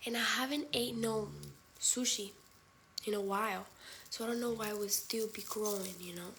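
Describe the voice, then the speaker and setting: A woman's voice: medium pitch, steady tone, normal speed, a bit bright, a little hiss or hum. Blunt young woman chatting on a livestream. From Spain. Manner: candid, blunt and profane; mixes in Spanish phrases. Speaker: livestream host. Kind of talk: casual live chat with viewers.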